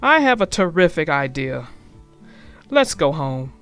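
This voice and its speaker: A woman's voice: low-pitched, very animated, unhurried, music in the background, voice pushed down, full character voice. A woman voicing a hearty, stubbornly optimistic father bear from a picture book, emphatic and blustery. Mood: sheepish enthusiasm. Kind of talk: storybook character voice.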